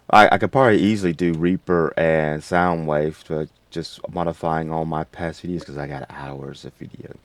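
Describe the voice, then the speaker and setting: Deep-voiced man giving a casual tutorial. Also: steady tone, normal speed, no echo. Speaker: tutorial host. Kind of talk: casual, unscripted software tutorial.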